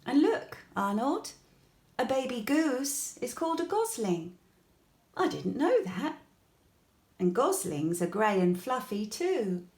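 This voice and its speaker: A woman plays a childlike, curious dog from a bedtime storybook. The delivery is bright-eyed and pleased with each discovery, with swooping, animated pitch. It is unhurried and sounds in an echoey room. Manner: inquisitive, pleased with discoveries, expressive. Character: Kipper, a friendly curious childlike male puppy-dog.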